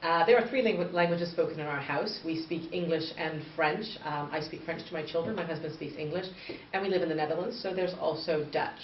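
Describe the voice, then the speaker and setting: Low-voiced woman from far off. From northern Canada. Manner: earnest, measured, explanatory. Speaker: guest, bilingual education expert. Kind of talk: interview answers. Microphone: far from the mic. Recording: distant, echoey, muffled with faint noise.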